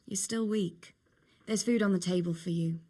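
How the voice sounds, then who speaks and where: A woman playing a caring, practical young village woman in a medieval video game, speaking gently and matter-of-factly with light teasing. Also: lowish voice, moderate pace, slightly rough, faint music behind. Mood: practical, firm. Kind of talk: video game cutscene acting, natural dialogue.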